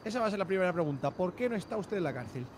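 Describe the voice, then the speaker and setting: A man's voice: sits high, rapid-fire, noisy background. Bossy man directing a scene. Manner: bossy, excitable, shouts orders. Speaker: roleplayer acting as film director. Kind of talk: casual game roleplay chat.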